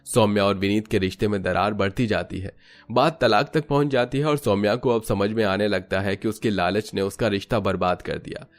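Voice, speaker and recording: Male narrator, low pitch, scripted melodramatic storytelling, cartoon family drama, music bed. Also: steady tone, brisk.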